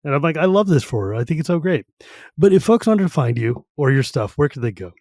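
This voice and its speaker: A man hosting a casual podcast chat, relaxed and laughing often, using easy slang. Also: fairly high pitch, quick pace, no echo, studio quality. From United States. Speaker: podcast host.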